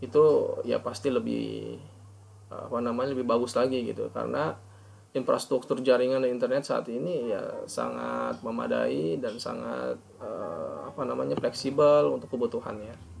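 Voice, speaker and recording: A man giving an academic presentation as a student, formally reading out points and then explaining them haltingly. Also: medium pitch, gravelly, a little mellow, slightly rough. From Indonesia. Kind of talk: academic presentation of an article review.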